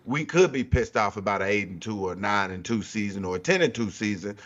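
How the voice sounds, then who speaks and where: A man's voice: fairly low pitch, lively intonation, a little fast, fairly bright tone, dull audio. A man talking sports casually on a panel, blunt and informal, often circling back to restate his point. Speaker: sports talk show guest or panelist. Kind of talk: casual unscripted sports debate.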